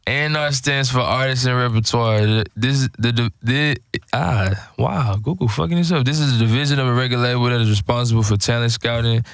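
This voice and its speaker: A man's voice: medium pitch, a little fast, hardly any pauses, no room sound. An American man co-hosting a podcast, debating casually with plenty of slang and swearing. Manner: slangy, profane. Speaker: podcast co-host.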